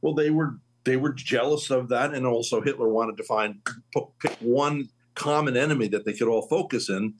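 A man in his late sixties hosting a casual faith conversation, telling stories with lively swings in his voice. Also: medium pitch, moderate pace. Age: 60s. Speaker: host.

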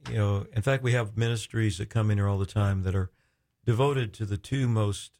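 Low-voiced, effusive male radio host. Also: steady tone, darkish tone. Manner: effusive, halting and searching for words.

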